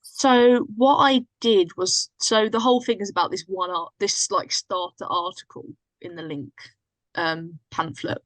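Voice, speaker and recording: Female guest, mid-pitched, informal and chatty, closely recorded explainer. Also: fairly even intonation, measured pace, a bit bright, no room sound, low-quality recording. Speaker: podcast guest, writer and actress. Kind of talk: casual chat.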